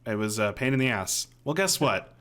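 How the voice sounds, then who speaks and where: A man's voice: mid-range voice, expressive, fairly quick, a bit bright. A man in his thirties chatting casually as a podcast co-host, talking about TV in an informal, rambling way from a room at home. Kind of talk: casual chat, reviewing TV shows. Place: home room, on a video call.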